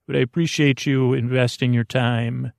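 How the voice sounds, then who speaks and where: A man hosting a sleep podcast with a creaky voice, rambling gently and haltingly through soothing, slightly silly tangents. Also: mid-range voice, level tone, no room sound, clean studio sound. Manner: meandering, halting, frequent tangents. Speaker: podcast host. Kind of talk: rambling bedtime monologue to lull listeners to sleep.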